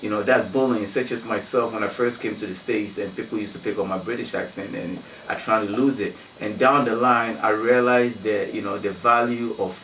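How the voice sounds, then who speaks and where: A man's voice: fairly low pitch, steady tone, brisk, hardly any pauses, fairly bright tone. A man from Ghana, a guest in a radio studio, telling stories and using many "you know" fillers. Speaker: guest, community activist. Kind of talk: radio interview storytelling. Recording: roomy, distant mic with faint noise.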